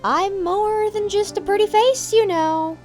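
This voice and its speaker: A woman's voice: very high voice, unhurried, soft background music. A woman playing a railway owner's caring, steadfast wife in an audio drama, speaking firmly and encouragingly. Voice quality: firm and encouraging.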